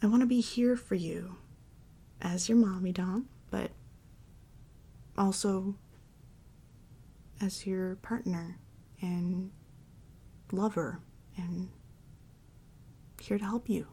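Casting: actress as devoted, comforting girlfriend for ASMR roleplay; soft mellow breathy voice with fry, often whispered, unhurried and loving. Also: medium pitch, steady tone. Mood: tender reassurance. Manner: soft, soothing, slow and affectionate. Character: tender, devoted girlfriend and mommy dom comforting her partner.

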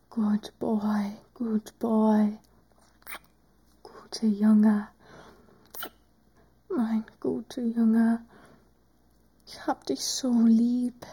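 Woman doing a sultry teasing doctor, audio roleplay, mellow soft voice, super slow whispery talk. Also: sits high, slightly rough, heavily acted.

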